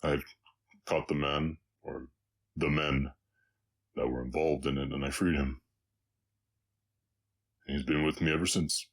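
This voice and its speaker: An extremely low-voiced orc cowboy, acted by a man, talks with a slight Southern drawl. He is weary and gentle, pausing often as he reflects, as if confiding in one listener in an intimate audio drama. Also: fairly even intonation, full character voice. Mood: reflective, subdued. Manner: halting, reflective, soft-hearted, pausing often.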